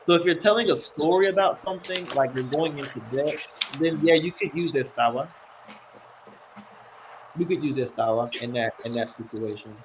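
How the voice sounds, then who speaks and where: A man's voice: on the high side, a little slow, rough recording. Patient man explaining grammar, faint background noise. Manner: explains patiently, switches to playful scolding. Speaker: host, language teacher. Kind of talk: casual language lesson.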